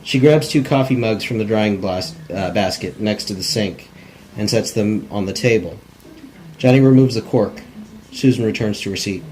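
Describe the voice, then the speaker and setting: Low-voiced man reading stage directions. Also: normal speed, a little mellow, rough recording. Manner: plain, stumbles over words. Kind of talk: scripted narration, amateur cold read. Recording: faint background noise.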